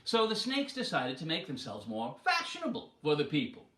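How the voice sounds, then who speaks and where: A man with a high, bright voice tells a folktale like a stage performer. He takes his time and shapes each phrase dramatically. The sound is roomy and echoing. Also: huge pitch swings. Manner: dramatic, deliberate delivery with acted voices. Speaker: storyteller. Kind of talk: theatrical storytelling of an animal folktale.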